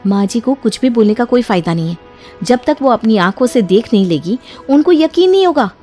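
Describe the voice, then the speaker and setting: A woman puts on a clever young daughter-in-law for a cartoon story. Her delivery is sweet and coaxing, swinging up high with lots of animation. Music runs underneath. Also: lively intonation, a little fast, dark tone, close to an everyday voice. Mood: firm, calm. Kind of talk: animated Hindi moral story dialogue. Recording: music behind the voice.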